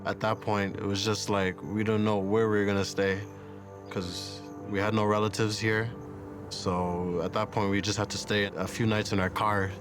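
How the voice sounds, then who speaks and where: A man's voice: on the low side, little pitch movement, moderate pace, over music. A man around twenty, reflecting on his life in an interview. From Canada. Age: 20s. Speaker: interviewee, soccer player.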